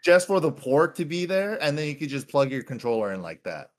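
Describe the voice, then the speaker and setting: A man's voice: a little high, big pitch swings, brisk. A man in his thirties, from Hawaii, chatting casually as a podcast guest and calling people "dude" a lot. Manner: laid-back, keeps calling people "dude". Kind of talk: casual chat.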